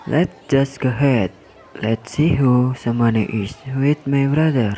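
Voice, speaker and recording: A man's voice: mid-range voice, measured pace, dark tone, a faint crowd, lightly acted, low-quality recording. A young man with an Indonesian accent playing a scheming student bully leader in an amateur radio drama. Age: young adult. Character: scheming older-brother student, leader of school bullies.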